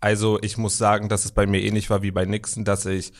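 Hesitant, low-voiced man around thirty. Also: little pitch movement, fast. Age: 30s. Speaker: interview guest. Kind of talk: conversational interview. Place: in a shop, indoors.

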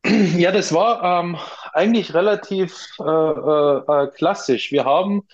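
A man's voice: fairly high pitch, a little slow. A man in his forties with an Austrian accent, answering casually as an interview guest and often hesitating. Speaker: interview guest, brewery co-founder. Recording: dull, lo-fi interview audio.

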